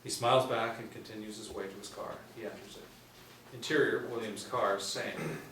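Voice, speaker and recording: A man's voice: lowish voice, steady tone, normal speed, fairly bright tone. A man in his forties reading scripted stage directions as a narrator. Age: 40s. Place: small room indoors. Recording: roomy, distant mic, faint noise.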